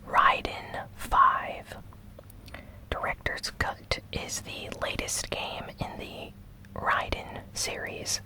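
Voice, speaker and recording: A host softly whispering a gaming news recap in a breathy, unhurried ASMR style. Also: poor audio. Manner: slow, soft, unhurried delivery.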